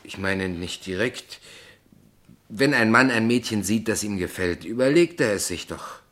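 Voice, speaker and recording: An actor in a radio play playing a naive, proud small-town poet, earnest and hesitant, stubborn when challenged. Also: male voice, lowish voice, animated, unhurried, fairly bright tone. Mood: awkward, hesitant.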